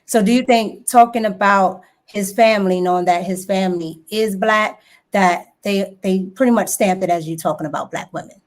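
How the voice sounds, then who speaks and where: A woman's voice: mid-range voice, normal speed. A woman from the United States hosting an interview, asking thoughtful, probing questions and tagging them with "right?". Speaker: host.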